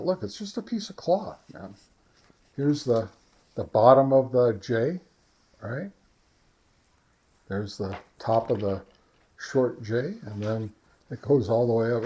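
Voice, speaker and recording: A man giving a casual, informal product review and field test, talking in a halting, conversational way. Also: medium pitch, sing-song, mellow. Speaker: ham radio reviewer and vlog host.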